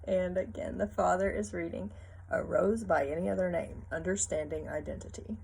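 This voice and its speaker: A woman reading a picture book aloud expressively, pausing to chat with and question young listeners. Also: lowish voice, fairly even intonation, vocal fry, a little mellow, husky. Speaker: read-aloud teacher.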